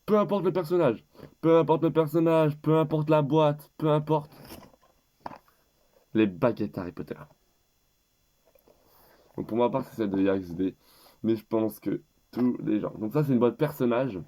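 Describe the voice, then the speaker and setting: A man's voice: mid-range voice, normal speed, long pauses, warm tone. A teenage boy with a head cold, rambling and hesitant as he presents gift picks in a casual vlog. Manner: rambling and hesitant, with many restarts. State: stuffed up with a cold. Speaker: YouTuber.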